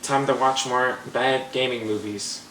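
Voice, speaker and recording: A man signs off a show in a slow, sarcastically grand manner. His mid-range voice sounds echoey in the room, with faint noise behind. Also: mid-range voice, steady tone, fairly bright tone. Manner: drawn-out, mock-grand delivery. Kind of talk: sarcastic sign-off.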